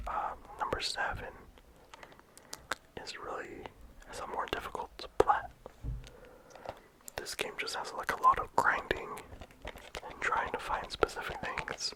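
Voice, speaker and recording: A game reviewer talking casually in a soft ASMR whisper, with frequent "like" and "um" fillers. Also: low-quality recording. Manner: soft unvoiced whisper throughout.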